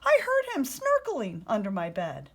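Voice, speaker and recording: Woman voicing an excitable, high-pitched frightened little boy. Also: moderate pace, full character voice. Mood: alarmed. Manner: urgent, crying out.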